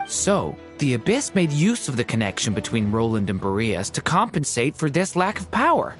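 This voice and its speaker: An actor playing a keen, articulate researcher in a video game, explaining analytically and with emphasis. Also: male voice, mid-range voice, very animated, moderate pace, faint music behind, lightly acted.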